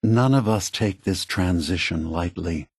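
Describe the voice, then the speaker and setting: An actor playing an ancient synthetic android leader in a video game, calm, sorrowful and earnest, with measured, deliberate delivery. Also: male voice, low-pitched, husky, no echo, studio quality. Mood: grave, earnest. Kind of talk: scripted video game dialogue.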